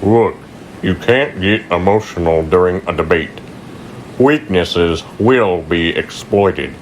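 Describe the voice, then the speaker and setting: A man's voice: low voice, slow pace, slight background noise. An actor in an amateur radio drama playing a condescending, cynical male debater who talks down to people. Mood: cold condescension.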